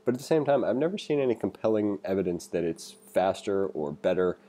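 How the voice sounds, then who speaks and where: Guy with a deep mellow voice reading thru a coding tutorial, chatty with his own takes. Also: expressive, fairly quick. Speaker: programming tutor. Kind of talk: read-along tutorial with casual asides. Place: voice-over for a screen recording.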